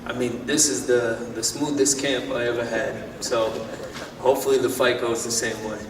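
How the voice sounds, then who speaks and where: A man, a boxer, answering press questions plainly with halting repeats. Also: mid-range voice, steady tone. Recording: echoey hall, far from mic, noisy.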